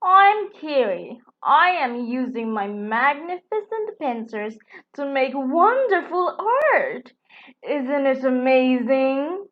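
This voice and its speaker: A woman's voice: sits high, very animated, slow pace, dull audio, full character voice. A woman voicing a proud beetle artist in a picture book, grandly enthusiastic and encouraging. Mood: proud, excited. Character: proud, exuberant beetle artist with huge pincers.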